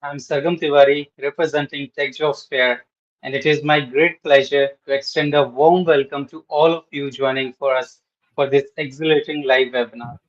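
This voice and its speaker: A man's voice: mid-range voice, steady tone, moderate pace, dull audio. A man hosting a webinar, giving a polite and formal introduction that sounds partly scripted. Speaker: webinar host.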